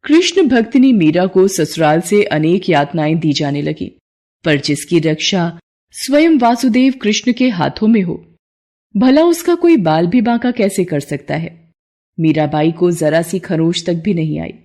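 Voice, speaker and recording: A woman's voice: fairly low pitch, expressive, measured pace, dark tone. Woman narrating a devotional story.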